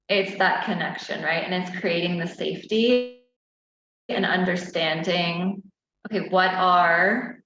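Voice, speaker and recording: A woman's voice: fairly low pitch, a bit bright, a little hiss or hum. A woman in her thirties, a doula and educator, answering interview questions in an earnest, reflective way and often asking "right?". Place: room at home, indoors. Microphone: earbud headset on video call. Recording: roomy, dull video call audio.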